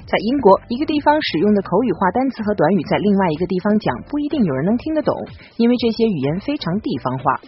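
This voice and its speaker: A woman from China co-hosting a bilingual English lesson, explaining and translating in a brisk, lively way. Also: mid-range voice. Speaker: co-host and translator. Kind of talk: bilingual educational radio presenting. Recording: muffled broadcast audio with faint music bed.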